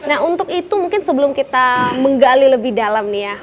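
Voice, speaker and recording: Woman tv host, kinda high voice, polite questions, studio talk show. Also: animated, fairly quick, background noise. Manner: polite, structured questioning with fillers. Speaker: TV program host.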